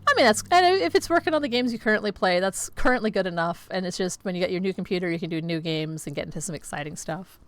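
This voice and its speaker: Slightly scratchy, low-voiced woman streamer. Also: animated, fast, hardly any pauses. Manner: rambling, filler-heavy, chatty. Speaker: livestreamer. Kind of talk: casual chat with viewers. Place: home streaming setup with green screen.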